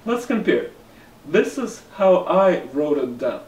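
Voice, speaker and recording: Man, Russian accent, language teacher, measured scripted lesson, roomy echo, a bit far from the mic. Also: fairly high pitch, very animated, darkish tone. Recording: roomy room echo, somewhat distant mic, faint ambience.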